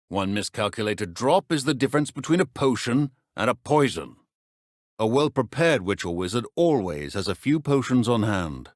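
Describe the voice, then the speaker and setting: A stern, middle-aged British professor of wizardry, very low in pitch and recorded dry. He speaks in clipped, formal, measured phrases with a dry edge, like a video game mentor. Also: no room sound.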